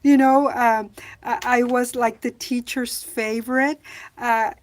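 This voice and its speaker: A woman with a calm, gentle voice chats on a livestream, her pitch sitting a little high. She talks very slowly, stopping often to restart a thought or fill the gap with "uh", and reads viewer questions aloud before answering them. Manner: slow, soft-spoken, many hesitations. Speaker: livestream host. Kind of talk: casual live chat reading comments.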